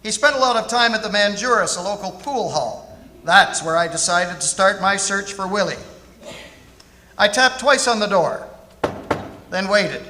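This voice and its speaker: A man's voice: high voice, expressive, normal speed, long pauses, sharp tone, a little hiss or hum. A man playing a war veteran private detective, narrating his case in a scripted radio play on a theatre stage. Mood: storytelling. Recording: echoey theatre hall, music behind.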